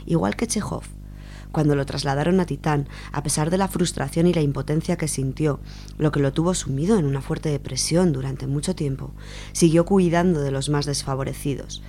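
A woman's voice: sits low, dark tone, a little hiss or hum. A woman with an accent from Spain reading a literary short story aloud as a radio storyteller. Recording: music behind the voice.

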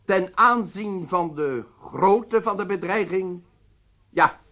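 A male actor playing a stern head of state in a radio drama, speaking formally and deliberately with emphatic phrasing. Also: high-pitched, bright, forceful tone, exaggerated voice. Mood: firm, formal.

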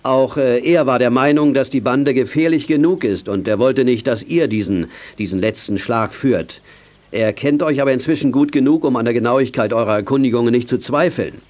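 An actor playing a kindly, jovial grown-up who helps child detectives in a 1980s children's audio drama. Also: male voice, medium pitch, moderate pace, darkish tone, natural voice. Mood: kindly, explaining. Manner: jovial, avuncular.

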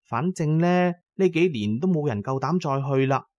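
A man's voice: a little high, lively intonation, normal speed, a little mellow, no echo, dull audio, close to an everyday voice. A man voicing a young village woman who tells eerie local lore in a folksy way, in an audio drama.

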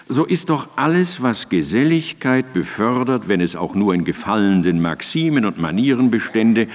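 An actor playing an earnest philosopher, reciting formal prose in a deliberate, emphatic radio drama manner. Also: male voice, on the low side, darkish tone, exaggerated voice. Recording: reverberant hall sound.

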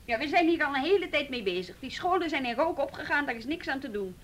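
A woman's voice: high voice, lively intonation, fast, fairly bright tone, a little hiss or hum. A woman acting a firm, patient, businesslike juvenile police detective in a naturalistic radio drama. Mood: urgent, businesslike.

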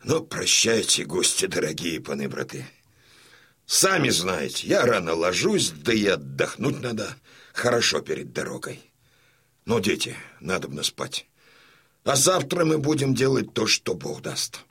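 An actor playing a gruff, imperious old Cossack colonel and father in an audio drama, with a husky voice and a bluff, emphatic delivery. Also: low-pitched, very animated, measured pace, a bit bright, exaggerated voice. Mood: solemn, subdued. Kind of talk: Russian audio drama acting.

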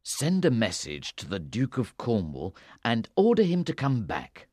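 A man's voice: medium pitch, huge pitch swings, unhurried, darkish tone, no echo, studio quality. A man voicing a stern male royal adviser who gives firm, commanding counsel.

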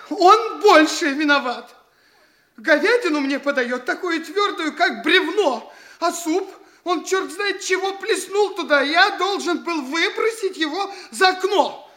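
An actor playing an indignant, cowardly, blustering young petty official in a theatrical comedy radio play, stammering and exclaiming petulantly. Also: male voice, very high voice, fairly bright tone, full character voice. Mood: defensive, complaining.

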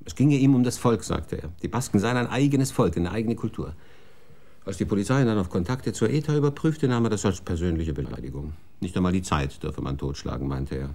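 Casting: bürokratischer Stellvertreter, tief und weich, unerschütterlich. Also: male voice, low voice, animated, fairly quick, a little mellow. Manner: dry, bureaucratic. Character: unflappable male deputy official, matter-of-fact.

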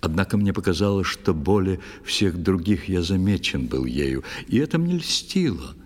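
A man's voice: deep voice, normal speed, long reverb tail. An actor playing a proud, ardent young knight who tells his adventures in dramatic verse for a children's audio play. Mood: animated recollection. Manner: dramatic, expressive declamation. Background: faint music.

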